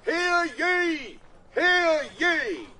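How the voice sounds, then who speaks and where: A man playing a pompous, loud town crier making a declamatory, drawn-out proclamation in a period radio drama. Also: very high-pitched, expressive, noisy background, heavily acted. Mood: proclaiming, stern.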